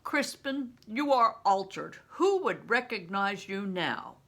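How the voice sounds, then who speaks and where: Granny reading as big gruff showman guy, teasing but kind, loud swoopy delivery, storybook style. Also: mid-range voice, lively intonation, slow, heavily acted. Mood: blustering, assured. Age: older. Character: big blustering, roughly kind male travelling performer.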